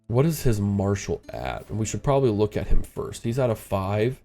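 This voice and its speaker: A man's voice: lowish voice, animated, moderate pace, dark tone, faint music behind. A congested man with a stuffed-up nose, casually commenting as he plays a strategy game and thinking aloud. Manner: rambling, thinking aloud, frequent uh fillers. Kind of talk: casual let's-play commentary.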